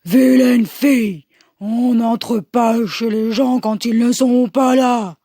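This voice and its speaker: Woman putting on a big gruff growling papa bear. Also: on the high side, mellow, no room sound, clean studio sound. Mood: scolding. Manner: slow, growling, scolding. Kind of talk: children's storybook character voice.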